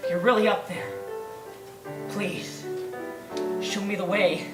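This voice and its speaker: A woman's voice: medium pitch, steady tone, takes it slowly, roomy sound, distant mic, loud music behind the voice, natural voice. A woman voicing a desperate young man who stammers and begs for help. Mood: despairing. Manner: stammering, repeats words.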